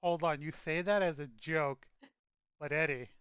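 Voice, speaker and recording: A man's voice: a little high, fairly even intonation, moderate pace, clean tone, highs cut off. A man hosting a casual podcast over a call, joking around and restarting his sentences. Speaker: podcast host. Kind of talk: casual chat. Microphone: own mic on voice call.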